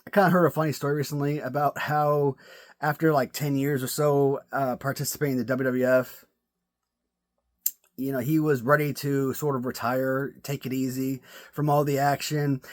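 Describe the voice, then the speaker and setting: A man giving casual video commentary on his action figures, chatty and prone to restarting words, his voice sore and cracking. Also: medium pitch. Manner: chatty, repeats words while thinking. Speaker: toy collector reviewing action figures.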